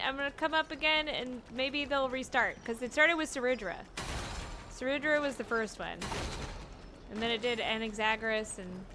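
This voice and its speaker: A woman's voice: sits high, sharp tone, slight background noise. A woman streaming a game, reacting live in a casual, exclamatory and playfully pleading way. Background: game music.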